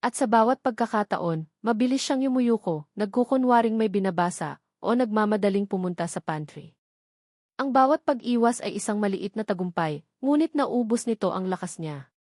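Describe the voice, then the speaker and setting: A woman narrates a dramatic audiobook story from a script. Her pitch sits in the middle range for a woman, and the recording is dry and close. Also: expressive, moderate pace, a little mellow, dry recording.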